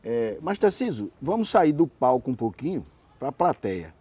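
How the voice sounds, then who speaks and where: A man's voice: medium pitch, sing-song, normal speed, warm tone, breathy edge, no echo. A man hosting an interview, putting his questions in a conversational, slightly roundabout way. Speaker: interview host. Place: outdoor hotel terrace.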